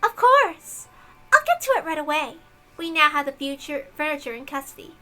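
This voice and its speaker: A smug young girl detective played by a woman reader in a visual novel. The voice is piercingly high and bright. She boasts with theatrical devotion, eager to obey her master. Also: big pitch swings, normal speed, soft background music, full character voice.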